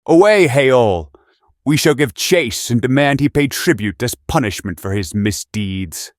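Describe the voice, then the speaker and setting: An actor playing a valiant, courteous Arthurian knight in an audio drama, speaking in solemn, archaic, resolute phrases. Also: male voice, medium pitch, lively intonation, measured pace, no room sound, clean studio sound, natural voice. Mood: puzzled resolve. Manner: archaic, solemn, declamatory speech. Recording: orchestral music behind the voice. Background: orchestral score, nature sounds.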